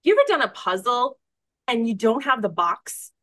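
A woman hosting a podcast in casual conversation, effusive and informal, thinking out loud as she talks. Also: a little high, sing-song, moderate pace, dry recording, soft background music. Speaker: podcast host interviewing a guest.

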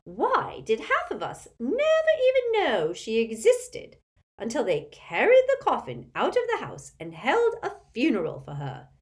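A woman's voice: on the high side, wildly swooping pitch, a little slow, pitched above the performer's own voice. A woman voicing a haughty, spiteful, tipsy old witch aunt in a storybook, cackling and screechy, with an emphatic gossipy manner. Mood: shrill, accusing.

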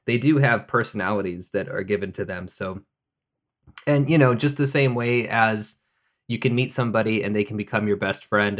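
Easygoing low-voiced man. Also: fairly bright tone. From Oklahoma. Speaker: podcast co-host. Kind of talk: casual conversation.

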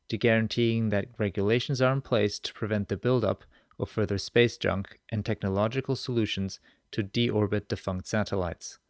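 A man's voice: on the low side, no echo, clean studio sound. A man hosting a podcast, presenting and interviewing. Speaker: podcast host. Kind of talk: scripted podcast introduction and interview.

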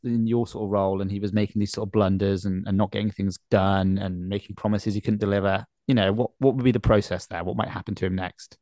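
A man's voice: on the low side, fairly even intonation, fast talker, warm tone, dry recording, clean studio sound. A man hosting a podcast, talking casually through sports discussion. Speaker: podcast host.

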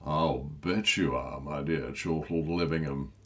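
A man voicing an arrogant, lecherous Georgian duke, deep and pompous, with oily drawn-out phrasing in a storybook. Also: steady tone, a bit bright, highs cut off. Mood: smug.

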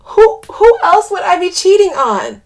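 A woman's voice: extremely high pitch, animated, a little slow, darkish tone, pitched above the performer's own voice. A woman voicing a distraught, crying woman in an audiobook, pleading and confessing in broken, stammering gasps. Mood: tearful. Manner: gasping, broken stammering delivery. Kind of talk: audiobook character dialogue.

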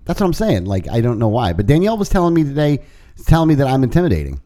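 A man co-hosting a talk show, telling stories in casual banter with lively energy. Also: medium pitch, racing. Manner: lively, animated storyteller. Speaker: co-host.

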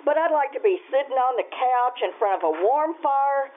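A woman's voice: high voice, animated, moderate pace. A woman playing a friendly, gossipy 1950s store clerk in an old-time radio play, full of folksy exclamations. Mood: gushing, chatty. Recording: tinny, hissy phone-like radio sound.

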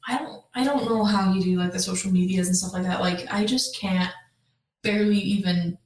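A woman with a low voice, co-hosting a podcast in laid-back, joking casual chat. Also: fairly even intonation, a little fast, darkish tone, far from the mic. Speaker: podcast co-host. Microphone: distant from the mic. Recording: echoey, off-mic.

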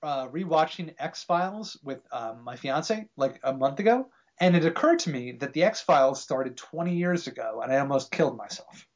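A man's voice: fairly high pitch, expressive, normal speed, hardly any pauses. A man of thirty hosting a podcast, chatting casually with guests, laid-back and swearing often with easy laughs. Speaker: podcast host. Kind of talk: casual comedic chat. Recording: dull lo-fi podcast audio.

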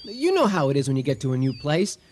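A man's voice: a little high, wildly swooping pitch, fast, darkish tone, slight background noise. An actor playing a mild, courteous earthman in a comic radio drama, soothing and a little hesitant. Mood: reassuring. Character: mild, obliging, reassuring earthman in contact with aliens. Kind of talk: comic radio theater acting.

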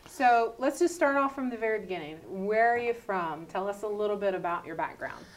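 A woman's voice: mid-range voice, animated, a little fast. A woman hosting a podcast interview in a conversational way, introducing her guest and prompting with questions. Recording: distant from the microphone.

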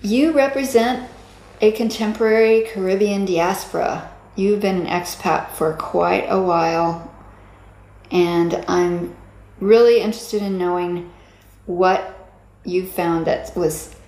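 A woman interviewer asking measured, attentive questions. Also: lowish voice, fairly even intonation, a little mellow. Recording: echoey room.

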